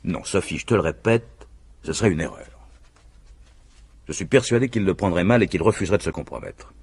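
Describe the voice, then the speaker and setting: An actor playing a self-assured, scheming husband in a vintage French radio mystery, speaking in persuasive, emphatic speeches with a husky voice. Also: male voice, deep voice, lively intonation, brisk, darkish tone, exaggerated voice. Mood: assured, cautioning.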